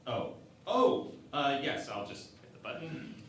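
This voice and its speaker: A man's voice: mid-range voice, very animated, measured pace, fairly bright tone. A man playing an earnest, oblivious and tentative crewman in a sci-fi parody radio play. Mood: awkward, flustered. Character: awkward, earnest, slow-witted male starship crewman. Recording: very echoey, distant mic, faint noise.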